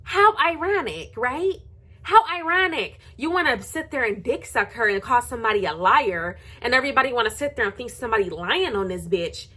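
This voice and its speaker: A woman's voice: on the high side, lively intonation, a little fast, bright, forceful tone, husky. An American woman vlogger delivering a heated gossip rant to viewers, blunt and confrontational, swearing heavily and repeating phrases for sarcastic emphasis.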